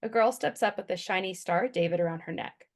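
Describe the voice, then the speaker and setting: Narrator for table read: woman, medium pitch, reads scripted stage directions. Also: quick pace.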